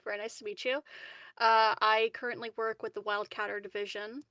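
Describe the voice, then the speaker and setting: A woman's voice: a little high, level tone, brisk, sharp tone, no echo. A woman playing a tabletop roleplaying game, chatting casually in character in a giggly, hesitant, rambling way. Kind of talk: casual in-character improvised chat.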